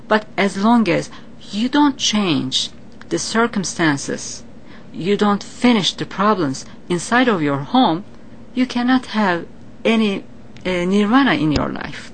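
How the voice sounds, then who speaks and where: A woman's voice: mid-range voice, lively intonation, measured pace, slight background noise. A woman from Turkey with a Turkish accent, earnestly explaining her psychic work as an interview guest. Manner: earnest, explanatory, with non-native grammar. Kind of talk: interview answers.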